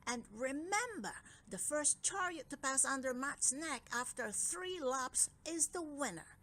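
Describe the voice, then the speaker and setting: A woman playing a fretful old dragon king, hemming slowly. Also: high voice, lively intonation, pushed and bright, voice pushed up, heavily acted. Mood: worried, cautioning. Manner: pondering, hemming and humming. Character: short-sighted old dragon king, mild, fretful, doubtful. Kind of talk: children's storybook character voice.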